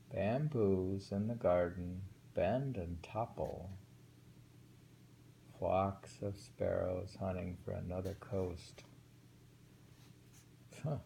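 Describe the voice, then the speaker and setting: A middle-aged man reads old poems aloud, then muses over them in a relaxed, thinking-aloud way. His voice is low and mellow, with a gravelly edge. He takes his time, often pausing to reread a line. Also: slight background noise, rough recording. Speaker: poetry reader. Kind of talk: reading poems aloud with casual commentary.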